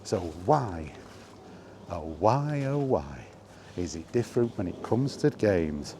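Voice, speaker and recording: A man with a fairly low voice speaks off the cuff, putting deliberate weight on his points. He repeats phrases and poses rhetorical questions as he argues his opinion. Noticeable ambient noise sits behind him. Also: sing-song, dark tone. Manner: emphatic, deliberate delivery with rhetorical questions and repetition. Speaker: video host sharing opinions on gaming. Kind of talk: unscripted opinion monologue while walking.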